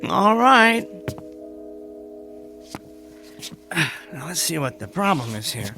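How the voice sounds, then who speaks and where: An actor in a radio drama playing a middle-aged, henpecked amateur inventor, fussy and excitable, given to muttering and meek stammering. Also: male voice, fairly high pitch, measured pace, over music. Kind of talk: comic radio drama acting.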